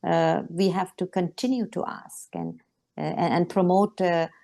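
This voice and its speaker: A woman's voice: fairly low pitch, unhurried, creaky, warm tone. A woman from Sri Lanka speaking as a panel guest in an online discussion, earnest and emphatic, with frequent fillers.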